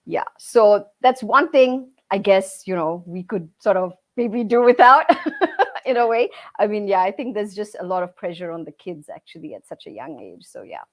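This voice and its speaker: A woman's voice: medium pitch, lively intonation, normal speed. A Singaporean woman in her forties, a guest in a casual interview, talking easily with many "you know"s. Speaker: guest, restaurateur.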